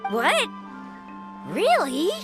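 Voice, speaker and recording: A woman's voice: extremely high pitch, huge pitch swings, very slow, loud music behind the voice, heavily acted. A woman voicing a sensitive, loyal little boy, earnest and pleading, in an anime-style video game. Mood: anxious. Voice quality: childlike.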